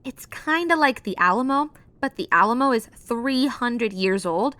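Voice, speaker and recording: A bright, animated voice plays a keen young research assistant in an audio drama. She sounds proud of what she knows, on a fairly high pitch. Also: moderate pace, no room sound. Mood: informative.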